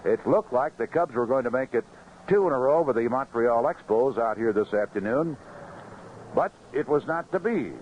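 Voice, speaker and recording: An American man giving a seventies baseball radio report in a measured, conversational way. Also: mid-range voice, expressive. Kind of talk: 1970s radio scoreboard report and sponsor reads. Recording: dull, faintly noisy 1970s AM radio.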